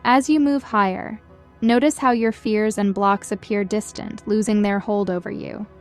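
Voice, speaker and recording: A woman reading a scripted guided meditation in a gentle, soothing, measured way, as a guide leading listeners. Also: mid-range voice, fairly even intonation. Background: background music.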